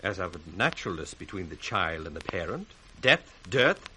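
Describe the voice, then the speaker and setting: Sly British schemer, wildly swooping delivery. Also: male voice, deep voice, sing-song, moderate pace, sharp tone, full character voice. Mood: feigned concern. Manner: theatrical, sardonic, crisply declaimed. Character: scheming, mocking bastard son, sly and ambitious villain. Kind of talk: 1960s radio Shakespeare acting. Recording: faintly hissy 1960s radio recording.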